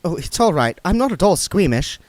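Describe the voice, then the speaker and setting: Man voicing a high-pitched young woman, brisk and commanding, dry close sound, storybook reading. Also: very animated, a little mellow, no echo. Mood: startled. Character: flustered, bossy young woman.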